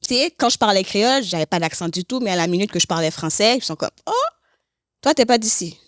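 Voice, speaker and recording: A woman's voice: mid-range voice, fast, no echo, clean studio sound. A woman from Haiti and Canada, talking candidly as a podcast guest and slipping in English phrases. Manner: expressive, slips English phrases into French. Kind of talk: candid conversational interview.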